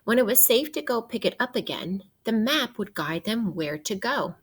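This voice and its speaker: A woman teacher reading a children's book aloud in an expressive way, guiding young listeners with questions and pauses. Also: a little high, husky. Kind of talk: interactive read-aloud of a children's nonfiction book.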